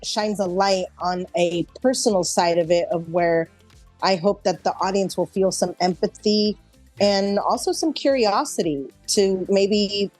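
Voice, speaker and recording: A woman's voice: medium pitch, unhurried, a little mellow, no echo, soft background music. A middle-aged woman actor chatting casually in an interview, speaking in a reflective, conversational way.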